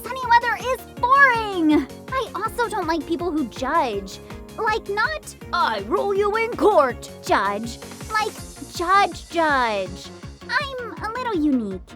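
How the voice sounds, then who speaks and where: A woman's voice: very high voice, very animated, slow, over music, voice pushed up, exaggerated voice. A woman voicing a quirky, opinionated schoolgirl in an audio drama, chatty, bubbly and emphatic. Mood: opinionated, indignant. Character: quirky, perky, opinionated schoolgirl obsessed with weather. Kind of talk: audio drama character acting.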